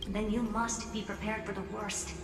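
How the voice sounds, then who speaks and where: A woman's voice: mid-range voice, level tone, moderate pace, noisy background, natural voice. An actress playing a self-assured female genius in a video game, talking in a frank, confident, conversational way. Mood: frank, serious. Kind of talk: video game dialogue acting. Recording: echoey hall under loud music.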